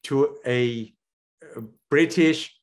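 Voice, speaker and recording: A man's voice: mid-range voice, drawn-out words. Older man hosting an interview. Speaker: interview host. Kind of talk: conversational interview. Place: small furnished room at home, video call.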